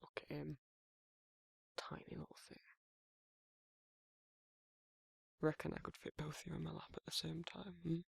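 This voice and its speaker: A man playing a protective, tender werewolf lover in intimate ASMR roleplay, murmuring softly and informally with a husky, breathy voice. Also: on the high side, little pitch movement, fairly quick, dry recording, full character voice. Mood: gentle, teasing.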